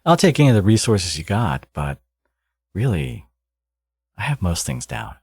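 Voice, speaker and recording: A man acts out a smug supervillain who dotes on his baby, in an intimate ASMR roleplay. His voice is very low and gravelly, murmured slowly and close in a dry space. He is cocky and playfully teasing with his lover. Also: sing-song, a little mellow, dry recording, heavily acted. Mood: teasing, reassuring. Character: smug, teasing male supervillain, doting new father.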